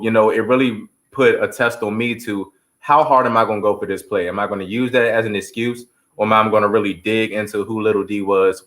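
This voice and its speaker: A man's voice: fairly low pitch, a bit bright. A man giving heartfelt interview answers as a stage actor, telling stories earnestly and quoting scripture like a preacher.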